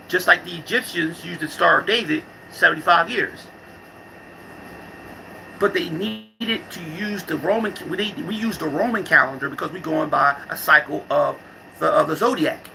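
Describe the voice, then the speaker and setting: Casting: male vlogger, very bright forceful voice with gravelly fry, loose profane rambling, slangy and irreverent. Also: high voice, slight background noise, rough recording. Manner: repeats and restarts phrases often. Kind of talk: rambling casual monologue with comic riffs.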